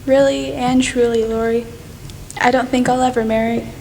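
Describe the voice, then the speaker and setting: A teenage woman student playing an earnest, eager young writer in a radio play, expressive and forthright. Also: fairly high pitch, moderate pace, creaky, a little hiss or hum. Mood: sorrowful, firm. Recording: echoey hall, music behind.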